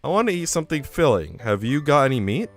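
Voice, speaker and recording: A man's voice: mid-range voice, sing-song, fast talker, faint music behind, pitched above the performer's own voice. A man voicing a small, sleepy, blunt and demanding young woman from a visual novel, with a nonchalant manner.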